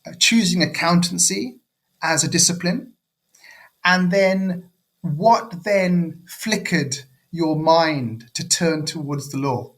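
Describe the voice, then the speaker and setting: A man's voice: on the high side, slow pace, fairly bright tone. An older man hosting a podcast interview, effusive and chatty, with plenty of fillers. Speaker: podcast host.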